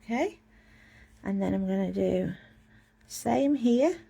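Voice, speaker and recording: A woman's voice: mid-range voice, expressive, slow pace, vocal fry, warm tone, breathy edge. A woman giving a casual live drawing lesson, chatty and self-mocking as she talks through each step while drawing. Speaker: drawing teacher.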